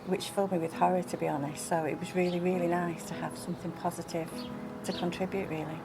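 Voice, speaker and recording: A woman's voice: on the low side, level tone, fast. A middle-aged or older woman reflecting informally on her own experience, as in an interview. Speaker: volunteer being interviewed. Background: music.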